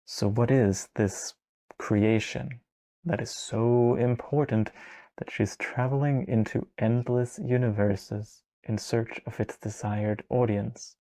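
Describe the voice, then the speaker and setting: A man's voice: medium pitch, fairly even intonation, slow, warm tone. A man in his twenties, a native Danish speaker, recapping a book for a video essay in a wry, sardonic, casual manner. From Denmark. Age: 20s.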